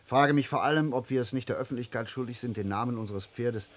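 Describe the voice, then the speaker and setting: A man acting a formal, reserved gentleman colonel in a German radio play, speaking politely with slight hesitation. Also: medium pitch, fast, lightly acted. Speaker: actor in a detective radio drama.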